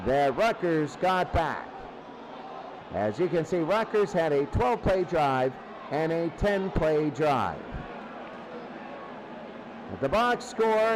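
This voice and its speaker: A high-voiced man does live football play-by-play as the game's commentator. The recording carries clear background noise behind his calls.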